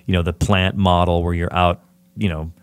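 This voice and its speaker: A man interviewing a fellow musician in relaxed, talkative chat, asking long questions. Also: low voice, moderate pace, smooth, dry recording.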